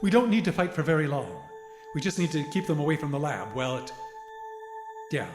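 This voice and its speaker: A man's voice: a little high, very animated, fairly quick, reverberant, over music. A man playing a determined, apologetic grandfather in an audio drama. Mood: resolute, regretful. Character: determined, apologetic grandfather leading a desperate plan.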